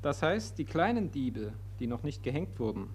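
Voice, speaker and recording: An actor playing a biting, sardonic pessimist with pointed, caustic delivery in a 1940s radio play. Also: male voice, a little high, very animated, normal speed, darkish tone. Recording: old radio recording, faint hiss.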